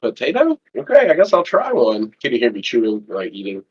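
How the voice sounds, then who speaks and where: A man's voice: medium pitch, wildly swooping pitch, a little fast, lo-fi sound, pitched below the performer's own voice. A man voicing a male alien at a human party, speaking in stilted, formal, broken phrases. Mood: puzzled. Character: stiff male alien faking human manners.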